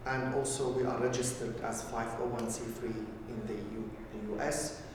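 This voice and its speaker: A man from Syria introduces himself as a foundation director, earnest and measured. He sounds distant in a reverberant room. Steady background hiss runs throughout. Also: mid-range voice. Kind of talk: self-introduction. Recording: echoey room from far off with noise.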